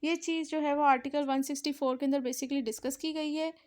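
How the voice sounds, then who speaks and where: A woman's voice: sits high, steady tone, brisk. A woman with a Pakistani accent lecturing on law, explaining step by step and mixing in English legal terms as she goes. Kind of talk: explanatory lecture, reading statutes then explaining.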